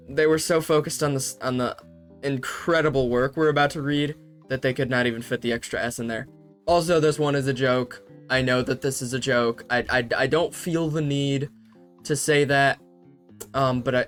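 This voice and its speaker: A man's voice: on the high side, fairly bright tone. A young man hosting a comedy video, reading fanfiction aloud with sarcastic asides and frequent laughter. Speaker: host.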